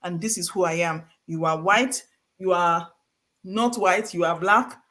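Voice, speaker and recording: A woman's voice: lowish voice, unhurried, bright, forceful tone. A middle-aged woman from Nigeria, hosting a live-streamed conversation and telling stories in an earnest, emphatic way. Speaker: host of a live session.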